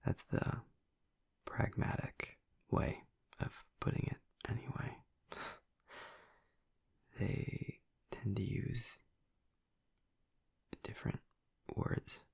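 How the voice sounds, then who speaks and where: Hushed, gravelly young male vampire played by a man. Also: lowish voice, steady tone, drawn-out words, long pauses, dry recording, whispered, studio quality, exaggerated voice. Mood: relaxed, rambling. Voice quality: breathy, husky, gravelly murmur. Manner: hesitant, rambling, casual. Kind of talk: intimate audio roleplay addressed to the listener.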